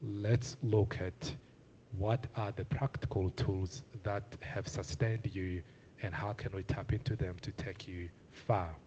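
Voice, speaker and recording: A man's voice: low-pitched, fairly even intonation, slow, warm tone, lo-fi sound, slight background noise. A young man from Zimbabwe speaking on a panel, earnest and hesitant with many filler sounds. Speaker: community panelist. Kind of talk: panel talk.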